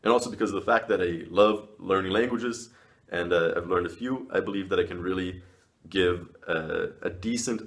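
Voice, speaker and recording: Male host, low-pitched, friendly explainer tone. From Brazil. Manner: friendly, explanatory, with small laughs. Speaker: language video host. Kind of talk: casual educational talk to camera.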